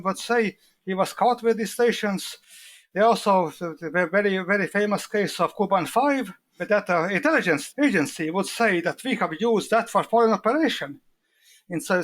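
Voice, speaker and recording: A man's voice: sits high, a bit bright. A man who speaks English as a second language, explaining things as an expert guest with emphatic, repeated phrasing. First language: not English. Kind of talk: explanatory interview answers.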